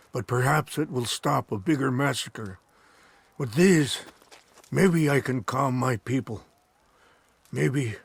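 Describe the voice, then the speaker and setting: An actor playing a solemn, gentle older chief in a cinematic video game, husky and measured in his speech. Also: medium pitch, exaggerated voice.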